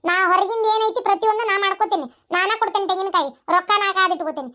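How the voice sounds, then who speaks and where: A woman's voice: very high-pitched, racing, pushed and bright. A woman voicing a bossy, indignant middle-aged village daughter-in-law in a cartoon, lecturing insistently with a North Karnataka accent. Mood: firm, bossy. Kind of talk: village comedy cartoon dialogue.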